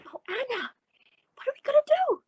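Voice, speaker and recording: A high, very lively voice from an actress plays a naive, nervous woman in radio suspense. She is placating and exclamatory, swinging widely in pitch. The sound is a little dull and lo-fi. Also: a little fast, no echo. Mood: frightened. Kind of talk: old-time radio suspense acting.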